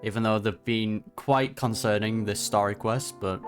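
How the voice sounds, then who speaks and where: A man's voice: lowish voice, steady tone, normal speed, soft background music. A man giving casual reaction commentary on a video game, conversational and sympathetic in manner. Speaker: gaming reaction streamer.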